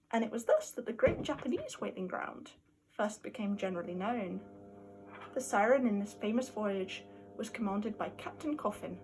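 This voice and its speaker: High-pitched woman giving a theatrical book reading. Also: slight background noise, rough recording. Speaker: amateur reader. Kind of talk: theatrical dramatic reading of a classic novel.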